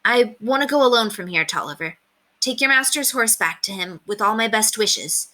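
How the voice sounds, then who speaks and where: A woman's voice: medium pitch, animated, moderate pace, sharp tone, pitched above the performer's own voice. A woman voicing an earnest, polite, tender-hearted young boy hero in a storybook read-aloud. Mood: gentle, firm. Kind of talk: storybook character reading.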